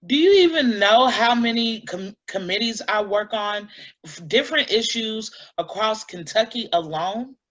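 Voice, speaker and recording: An actress playing a defiant, principled animal-rights activist in a staged play reading, blunt and combative. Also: female voice, on the high side, expressive, a little slow, a bit bright. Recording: dull lo-fi sound.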